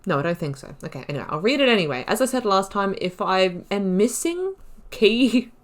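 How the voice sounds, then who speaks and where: A woman's voice: medium pitch, sing-song, fairly quick. A woman hosting a gaming video, reading lore aloud and adding informal, self-correcting asides. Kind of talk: reading game lore aloud with casual commentary.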